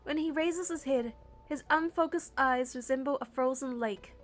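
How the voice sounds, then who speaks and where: A woman narrator reading a first-person story from a script in an expressive, suspenseful, dramatic way. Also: high voice, normal speed, faint music behind.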